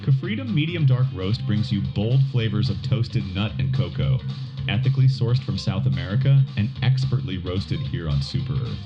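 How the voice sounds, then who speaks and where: A man playing a patriotic pitchman in a scripted radio commercial. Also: sing-song, moderate pace. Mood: proud, selling. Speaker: ad announcer. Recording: dull lo-fi radio, music underneath.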